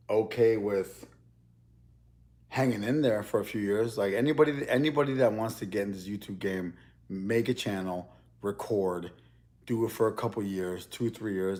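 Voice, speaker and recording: A man's voice: lowish voice, fairly even intonation, a little fast, a little mellow. A young man in a casual, rambling chat as he eats on camera, often restarting his sentences. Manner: rambling, loose, with many restarts. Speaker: mukbang YouTuber.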